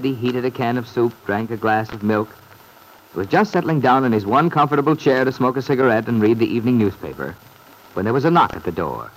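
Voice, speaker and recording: A man performing as the narrator of an old-time radio drama, telling the story in a measured, literary way. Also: mid-range voice. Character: male narrator of a domestic serial, measured storyteller. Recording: noisy old radio recording.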